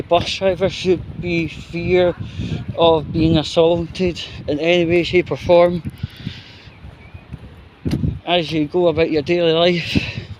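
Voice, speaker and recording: A Scottish man giving an unscripted opinion monologue as a vlogger, in halting, broken phrases with repetition. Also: a little high, noisy background.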